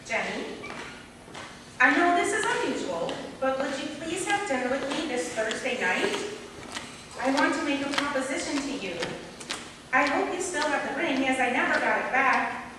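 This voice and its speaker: A woman in an amateur stage play playing a wistful, regretful middle-aged aunt, speaking haltingly and earnestly. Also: high-pitched, lively intonation. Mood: reading aloud, moved. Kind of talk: amateur one-act stage play acting. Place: stage in a hall, indoors. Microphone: distant audience camera. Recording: echoey hall from far off, noisy.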